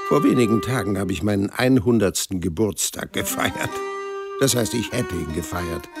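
An actor playing a wry, stubborn hundred-year-old man who narrates his life in a dry, deadpan way in an audio drama. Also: sits low, gravelly, heavily acted. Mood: wry, grumbling. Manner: dry, deadpan, matter-of-fact storytelling.

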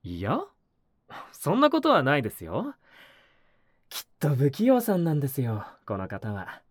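A woman voicing a polite, mild-mannered young male neighbour in an audio drama, speaking softly and conversationally to the listener. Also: extremely low pitch, wildly swooping pitch, normal speed, no echo, studio quality, heavily acted. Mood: gentle, calm. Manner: polite, soft-spoken, conversational. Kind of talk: Japanese audio drama acting, spoken to the listener.

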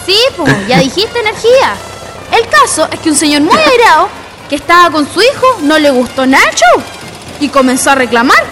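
An excitable Chilean woman gossip acted out by an actress for a radio play. Her voice sits high and leaps around as she spins her tale in colloquial speech. Music plays strongly behind her. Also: moderate pace. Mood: excited gossip. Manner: animated, colloquial Chilean storytelling. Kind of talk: radio drama acting.

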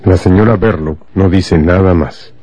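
A man narrating a scripted radio drama in a measured, dramatic storytelling manner. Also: sits low, warm tone, breathy edge, dry recording.

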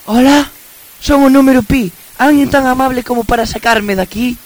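Living number for children's radio play, played by a male: high-pitched, polite, loudly calling for rescue. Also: normal speed. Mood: pleading, polite. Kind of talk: amateur school radio play acting.

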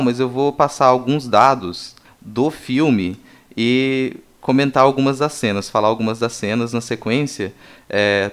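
A man with a Brazilian accent hosting a conversational film discussion, explaining things in a halting way. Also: mid-range voice, smooth. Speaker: podcast host.

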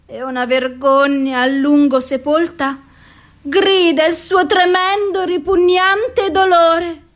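Broken despairing woman, very high voice, slow anguished delivery, bitter, radio theatre monologue. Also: steady tone. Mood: wounded.

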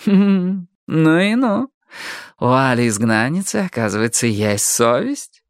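A woman's voice: a bass voice, very animated, slow, no room sound, studio quality, full character voice. A woman voicing a self-assured young man in an audiobook, nonchalant and slyly condescending. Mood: smug. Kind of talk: audiobook character voice.

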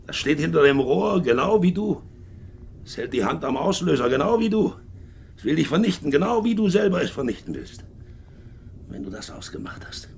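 An actor playing a hardened, domineering middle-aged sea captain and war veteran in a German radio drama, brooding and clipped. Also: male voice. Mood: fierce, heated, then hushed, obsessed. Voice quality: gravelly, creaky low voice. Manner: brooding, clipped, swinging from hearty to menacing murmur. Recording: noisy 1950s radio recording.

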